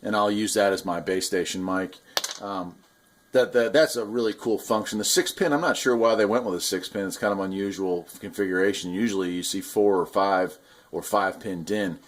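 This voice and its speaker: Man, fairly low voice, hobbyist reviewer, casual unscripted demo, rambling hands-on explanations, keen on gear. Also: moderate pace, a little hiss or hum. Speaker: radio hobbyist reviewer and video host.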